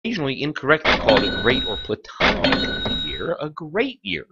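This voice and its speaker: A man narrating a lecture in a theatrical, suspenseful documentary style, pausing for effect between phrases. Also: mid-range voice, wildly swooping pitch, creaky, muffled recording, very noisy. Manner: theatrical, with rhetorical questions and suspenseful pauses.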